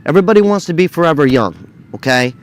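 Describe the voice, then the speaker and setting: A man's voice: fairly high pitch, lively intonation, fast talker. A man in his thirties talking in a casual vlog, chatty, blunt and joking. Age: 30s. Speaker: video creator.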